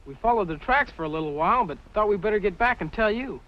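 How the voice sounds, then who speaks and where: A man's voice: high-pitched, lively intonation, fast. A male actor playing an earnest, eager teenage ranch boy in a 1950s radio drama. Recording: muffled old radio recording, music behind.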